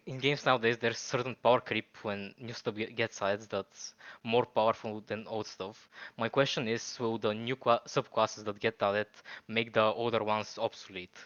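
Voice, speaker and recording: A man's voice: fairly even intonation, measured pace. A man from Bulgaria with a Bulgarian accent, calling in with questions, hesitant and apologetic with many restarts. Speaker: caller asking questions. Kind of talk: casual voice-chat question. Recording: muffled, quiet low-quality mic.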